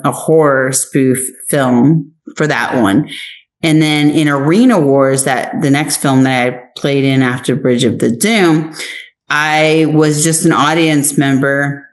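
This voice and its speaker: A woman speaking as an interview guest in a casual, chatty way, telling anecdotes conversationally. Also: deep voice. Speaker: interview guest, actress and writer.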